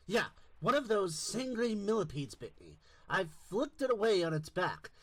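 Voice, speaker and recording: A man's voice: high-pitched, big pitch swings, measured pace, exaggerated voice. A man playing a casual, brash space crewman in a sci-fi audio drama. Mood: annoyed, loud.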